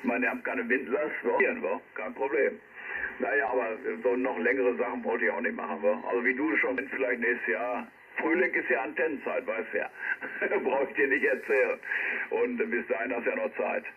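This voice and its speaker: A man's voice: medium pitch, fairly quick, slightly rough. A man with a Ruhr German accent chatting casually over amateur radio, jocular and colloquial. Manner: jocular, colloquial, frequent tag words. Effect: radio transmission. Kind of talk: casual ham radio chat. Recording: tinny, noisy single-sideband radio reception. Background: radio static.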